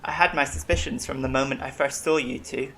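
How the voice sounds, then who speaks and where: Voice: medium pitch, steady tone, a little fast, vocal fry, fairly bright tone, a little hiss or hum, low-quality recording. An actor playing an eccentric, long-lived alien medic in an audio drama, reflective, kindly and wryly self-deprecating. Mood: intrigued.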